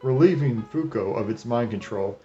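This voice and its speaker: A man's voice: medium pitch, lively intonation, moderate pace, warm tone, muffled recording, soft background music. A man hosting a video review, narrating with wry, sardonic commentary and crude joking asides. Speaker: host of an anime retrospective review.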